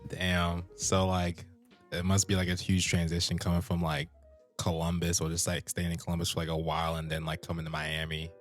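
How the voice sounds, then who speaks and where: A man with a deep, low voice hosts a loose, casual interview, stringing his questions together with plenty of "like." Faint music plays behind him. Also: fairly quick. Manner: relaxed, loaded with "like" fillers. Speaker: interview host. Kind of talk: casual chat.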